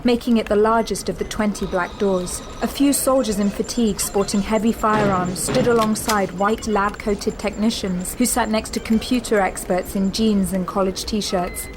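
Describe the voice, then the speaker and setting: A woman giving measured, scripted narration of a spy thriller. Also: medium pitch, noisy background. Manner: measured, even storytelling.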